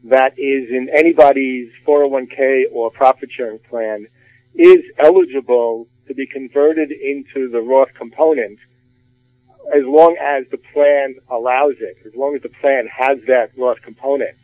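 A man, a retirement plan expert, explaining rules in a measured way on a radio finance discussion. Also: mid-range voice, fairly even intonation, no echo. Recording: phone-like narrow band.

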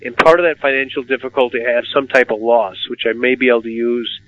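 A man's voice: mid-range voice, fairly even intonation, fast, no echo. A man hosting a radio finance discussion, asking questions and often repeating words as he starts. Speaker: radio host. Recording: dull lo-fi radio sound.

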